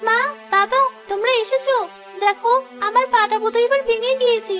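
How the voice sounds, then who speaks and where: A woman puts on a stubborn, playful teenage girl for a cartoon, pleading and childlike. The voice is extremely high, with music behind it. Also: steady tone, brisk. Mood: whining. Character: playful, stubborn fifteen-year-old girl who loves climbing trees.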